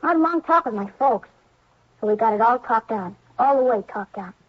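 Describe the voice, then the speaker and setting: An actor playing an earnest, grateful young boy in 1950s radio drama, with a husky American voice and halting, plain-spoken delivery. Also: mid-range voice, lively intonation. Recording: dull old radio recording.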